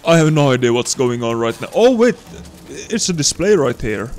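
Young man, non-native english speaker, casual let's-play commentary, excitable, swears, average pitch. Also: moderate pace, a little hiss or hum. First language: not English. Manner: excitable, swears, talks to viewers. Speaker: gameplay commentator.

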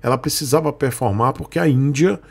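Gravelly man explaining casually. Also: medium pitch, a little fast. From Northeast Brazil. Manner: chatty, explanatory, frequent "tá, gente?" asides. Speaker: motorcycle channel host. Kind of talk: casual market commentary. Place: small indoor room.

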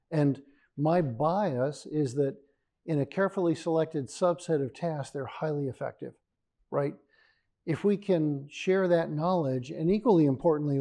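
An older man speaks with a soft, mellow tone and a slightly high voice. He answers audience questions as a professor in a large lecture hall, often tagging his points with "right?". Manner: ends points with a tag "right?".

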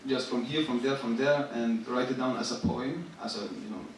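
A young man in his twenties from Syria, a rapper talking on a theater stage, halting and searching for words. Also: mid-range voice, distant mic, a little hiss or hum. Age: 20s. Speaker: rapper and guest artist. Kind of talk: stage talk. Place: large theater stage with live audience. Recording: echoey stage, picked up from afar.

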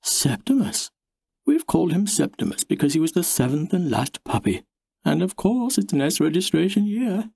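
A man voicing a bright, obliging woman who breeds dogs, explaining things helpfully in an audiobook story. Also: a little high, big pitch swings, normal speed, vocal fry, breathy edge, no room sound, clean studio sound, voice pushed up, heavily acted. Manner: bright, informative.

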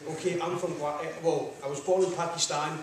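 A man's voice: fairly high pitch, level tone, brisk, a little hiss or hum. A man with a Scottish accent giving a public lecture, chatty and jokey, often saying "right, okay.". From Scotland. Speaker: guest lecturer. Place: large lecture hall, standing at podium. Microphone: far camera. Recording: echoey hall from far off.

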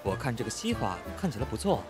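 A man's voice: medium pitch, big pitch swings, fast talker, close to an everyday voice. A man playing a brash, carefree young spendthrift in an audio drama. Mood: casual. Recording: loud background music.

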